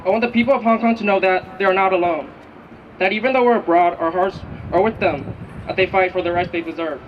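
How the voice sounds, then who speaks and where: A man with a notably high voice delivers a prepared, formal speech to a rally. He sounds distant from the mic, on a muffled recording with faint noise. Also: fast. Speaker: rally speaker for a youth group. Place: outdoor city street rally.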